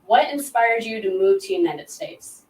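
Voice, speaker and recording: A woman interviewing a guest, affirming his answers and asking measured questions. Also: on the high side, lively intonation, a little mellow, distant mic. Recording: echoey room, voice away from mic.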